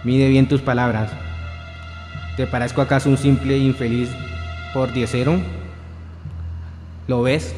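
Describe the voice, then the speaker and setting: A young man playing a sly devil disguised as a vagabond in a radio drama, measured, solemn and persuasive. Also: mid-range voice, fairly even intonation, darkish tone, natural voice. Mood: tempting, solemn. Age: young adult. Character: sly devil in guise of vagabond, tempting, grandiose. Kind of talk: student radio drama reading. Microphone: shared handheld microphone. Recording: echoey hall-like room, music behind.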